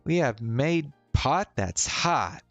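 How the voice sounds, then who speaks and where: A streamer putting on a playful, lifted-pitch young woman. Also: lively intonation, slow pace. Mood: giddy. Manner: playful, mock-dramatic. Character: giddy, dramatic, playfully cheeky young woman. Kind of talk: visual novel character read-aloud. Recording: roomy sound, faint music behind.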